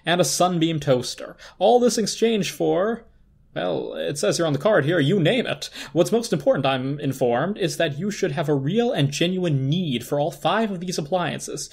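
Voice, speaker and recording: A performer voicing an excitable talking radio that imitates a deep announcer, with deliberate broadcast patter and a husky tone.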